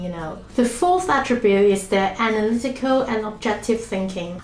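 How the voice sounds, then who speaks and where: A woman's voice: on the high side, echoey room. A woman from Hong Kong giving an earnest, emphatic scripted explainer as a language-learning vlogger. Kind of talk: scripted explainer talk to camera.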